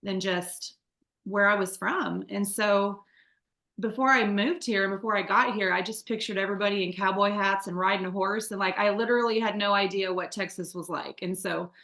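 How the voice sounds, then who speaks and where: A woman's voice: medium pitch, fairly even intonation, normal speed, a bit bright, reverberant. A woman in her forties from the Midwest, sharing her life story as an interview guest in a candid, chatty way with many fillers and restarts. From Midwest United States. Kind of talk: conversational testimony.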